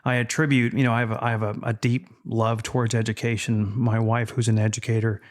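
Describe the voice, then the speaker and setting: A man in his fifties from Texas, Spanish his first language, talking in a measured, reflective way as a podcast guest. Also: mid-range voice, little pitch movement. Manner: measured, reflective, with frequent fillers. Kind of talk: casual interview chat.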